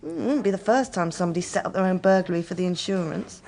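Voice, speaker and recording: A woman's voice: lowish voice, lively intonation, fast, creaky, darkish tone. An English actress playing a tough, sardonic female private investigator in a radio drama, with dry delivery. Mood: wry, probing. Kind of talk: radio drama acting with first-person narration.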